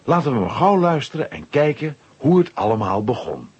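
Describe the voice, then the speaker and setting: Man, playful storyteller, animated intonation, very husky voice, children's audio drama narration. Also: mid-range voice, normal speed, dull audio.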